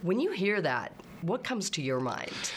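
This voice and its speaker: Slow, low-voiced woman hosting a talk show. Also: very animated, a little hiss or hum.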